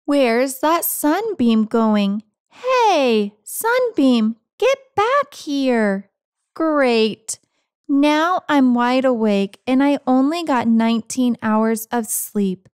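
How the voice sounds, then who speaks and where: A woman's voice: sits high, very animated, extremely slow, clear, resonant tone, dry recording, clean studio sound, exaggerated voice. A woman voicing a grumpy, dramatic, self-pitying house cat with sarcastic, theatrical complaining in a picture-book read-aloud. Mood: grumbling, sarcastic.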